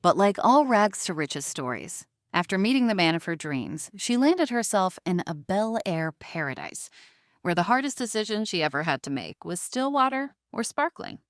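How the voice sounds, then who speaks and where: A woman's voice: mid-range voice, sing-song, normal speed, no echo, studio quality. A woman narrating a scripted audio story in a dramatic storytelling style.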